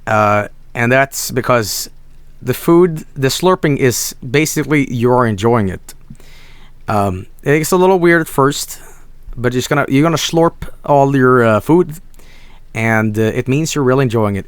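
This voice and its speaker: A hoarse man, chatting casually on a stream, rambling with many restarts and soft asides. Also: medium pitch, expressive, a little slow, dry recording. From Sweden.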